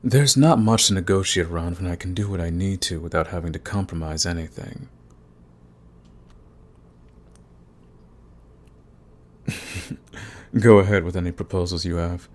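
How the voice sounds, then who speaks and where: Man acting a deep-voiced, smug villain. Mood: calm threatening. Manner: taunting, smug, unhurried threats. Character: cocky, menacing male villain, coolly fond of his enemy. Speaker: villain in an audio roleplay. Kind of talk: ASMR roleplay acting, addressing the listener.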